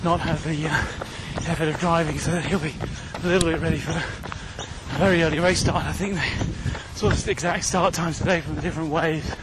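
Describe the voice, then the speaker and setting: Aussie guy podcasting while jogging uphill, puffing a bit, loud traffic and outdoor noise all round. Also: a little high, fairly even intonation, normal speed, few pauses. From Australia. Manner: chatty, slightly out of breath while running. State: panting from running. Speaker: podcast host. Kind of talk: casual running vlog monologue. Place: outdoors, running on hilly streets.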